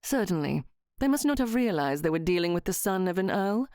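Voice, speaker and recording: A woman voicing a cheerful, self-assured young viscount with a lower, slower, confident drawl. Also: very animated, a little mellow, no echo, studio quality.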